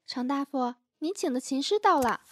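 A woman's voice: high voice, lively intonation, moderate pace, a bit bright, a little hiss or hum. An actress playing a woman of an ancient Chinese household in a period audio drama. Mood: deferential.

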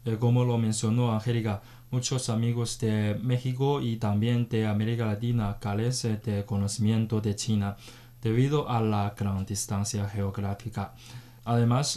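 A man hosting a radio interview in a studio, welcoming guests and asking questions in measured, formal phrasing. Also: lowish voice, warm tone. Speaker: radio host.

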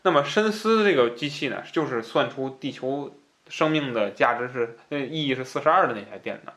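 A man's voice: mid-range voice, expressive, brisk, a bit bright. A man hosting a book podcast, chatting in a colloquial way and given to chuckling at his own asides. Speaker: podcast host. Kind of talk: casual book talk show chat.

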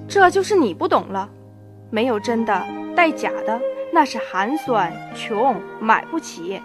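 A young woman acting a thrifty, sharp-tongued working wife in an amateur student radio drama. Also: on the high side, big pitch swings, measured pace. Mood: smug. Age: young adult. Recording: loud music behind the voice. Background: music with violin.

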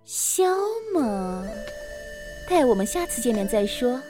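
A woman's voice: fairly high pitch, sing-song. A woman playing a bold, playful young female assassin who teases coquettishly in a Chinese period audio drama. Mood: teasing. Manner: coquettish, teasing, drawn-out. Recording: background music under the voice.

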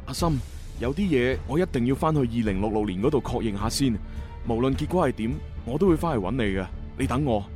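A man's voice: medium pitch, animated, quick pace, a little mellow, faint music behind, natural voice. A man playing an earnest, devoted young time-traveller in a radio drama. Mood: resolute, tender.

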